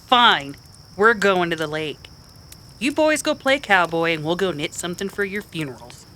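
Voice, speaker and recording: Man voicing a high, sharp, fiery frontier woman. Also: expressive, moderate pace, slight background noise. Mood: defiant. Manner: sharp, defiant. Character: fiery, stubborn frontier woman, defiant and protective. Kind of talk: old-time radio Western acting.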